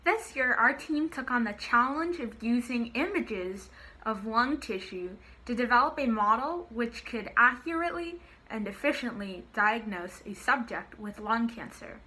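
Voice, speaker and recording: A teenage girl opens a student research presentation with clear, scripted delivery. Her voice is bright, with a fairly high pitch. She speaks from home into a laptop mic. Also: animated, a little slow, low-quality recording. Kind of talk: prepared project presentation. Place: room at home.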